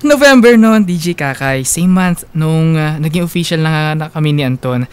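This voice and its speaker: A woman's voice: low voice, lively intonation, moderate pace, music in the background. A young woman playing a fond storyteller who narrates her love story sincerely in a confiding first-person radio drama. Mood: fond, reflective.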